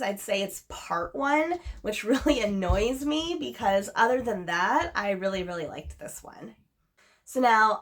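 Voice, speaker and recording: A woman's voice: medium pitch, fairly bright tone. A woman reviewing books to camera, chatty and enthusiastic, a little sniffly from a cold she is getting over. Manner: chatty and enthusiastic, repeats "really". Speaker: book reviewer. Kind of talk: casual chat to camera.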